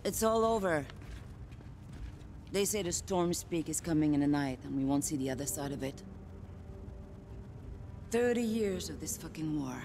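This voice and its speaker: A woman's voice: low-pitched, lively intonation, normal speed, long pauses, darkish tone. Game role: hard-bitten female soldier, weary of war, scornful bluntness, slight ambient noise behind her. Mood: despairing. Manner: blunt, sarcastic, swearing. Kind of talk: video game cutscene acting. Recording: faint background noise.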